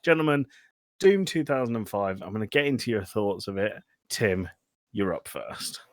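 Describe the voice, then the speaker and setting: Bright-toned man hosting a jokey podcast. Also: lowish voice, big pitch swings, normal speed, no echo, clean studio sound. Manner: sweary, teasing, jokey. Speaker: podcast host. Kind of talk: casual comedic chat about films.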